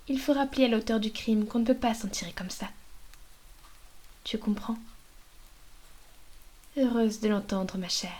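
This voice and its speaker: A woman's voice: fairly high pitch, warm tone. A woman playing a haughty, menacing vampire mistress in a scripted ASMR roleplay, speaking in a poised, formal, condescending way. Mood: sweetly menacing.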